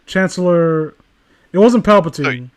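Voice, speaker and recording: High-pitched man ranting casually, rambling and profane. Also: expressive, a little slow. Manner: rambling, profane, exasperated opinionated talk.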